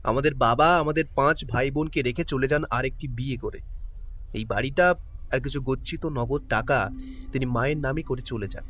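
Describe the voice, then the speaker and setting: A man's voice: medium pitch, moderate pace, fairly bright tone, close to an everyday voice. A man playing a guarded middle-aged man who tells a sad family history in a somber, reluctant way. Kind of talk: audio drama acting. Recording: faint background music.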